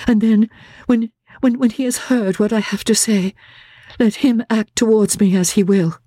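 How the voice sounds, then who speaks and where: A woman voicing a gentle, earnest young woman, anguished but resolute, with pleading, emotive phrasing, in a literary audiobook. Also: medium pitch, fairly even intonation, moderate pace, dry recording, voice pushed up, close to an everyday voice.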